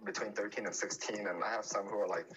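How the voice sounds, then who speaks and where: A man's voice: on the low side, fairly even intonation, fast, highs cut off, background noise. A man chatting casually as a podcast guest, often restarting his sentences. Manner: hesitant, many restarts and fillers.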